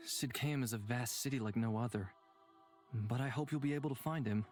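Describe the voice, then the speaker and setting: A man playing a solemn, courteous mystic with a measured, formal manner in a visual novel game. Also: medium pitch, a little mellow, lightly acted. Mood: solemn, calm. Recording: music behind the voice.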